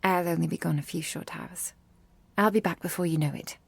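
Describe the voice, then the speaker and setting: A woman voicing a gentle, protective vampire girlfriend in an intimate ASMR roleplay, tender and slightly formal, often dropping to a breathy whisper. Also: fairly low pitch, a little fast, no echo. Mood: reassuring, tender.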